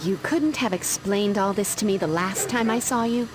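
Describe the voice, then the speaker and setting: A young American actress playing a determined demon slayer in a video game, dry and matter-of-fact. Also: female voice, medium pitch, moderate pace, natural voice. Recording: music behind.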